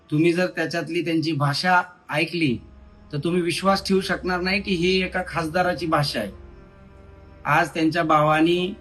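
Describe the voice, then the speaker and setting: A man's voice: sits high, a bit bright, rough recording. A man with a Maharashtrian accent speaking as a businessman, making a direct appeal to viewers.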